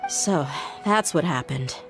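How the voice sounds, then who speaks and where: A young mercenary woman with a lowish voice, tough and blunt. She talks like a wounded fighter in a game drama, exasperated, over soft background music. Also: lively intonation, slow pace, full character voice. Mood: guarded, questioning. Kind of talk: dramatic video game dialogue.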